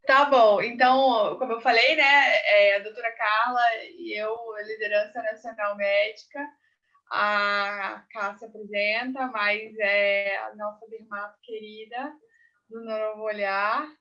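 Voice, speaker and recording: Gynecologist lady explaining on a video call, flat-toned, audio dull and far from the mic. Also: medium pitch, a little slow. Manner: patient, explanatory, many "né"s.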